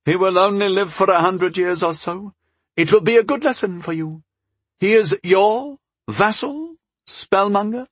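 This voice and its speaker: A man's voice: high-pitched, a little slow, husky, no echo, pitched above the performer's own voice. A man voicing a stern, mighty old elven lord in a fantasy audiobook, speaking in a formal, commanding way. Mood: dismissive. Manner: lordly, formal, emphatic. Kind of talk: audiobook character voice.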